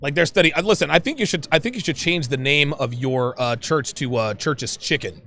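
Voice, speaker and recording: Male host, high voice, lively swinging delivery, jokey panel banter. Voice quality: high-pitched voice. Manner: animated, joking, quick to laugh. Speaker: podcast host. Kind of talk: casual panel chat.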